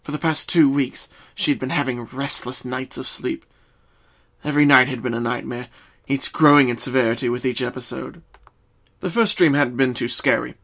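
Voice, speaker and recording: A man reading a scripted story as narrator, in a solemn, deliberate and dramatic way. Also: mid-range voice, fairly even intonation, fairly bright tone.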